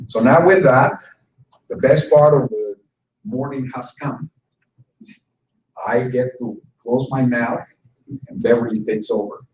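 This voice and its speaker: A man presents a workshop to fellow instructors, lively and joking, slipping in a few words. He sounds far from the microphone in a roomy conference hall, and the recording is dull and muffled. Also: medium pitch, slow, long pauses, slight background noise. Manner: lively, joking, with brief Spanish asides. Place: conference room.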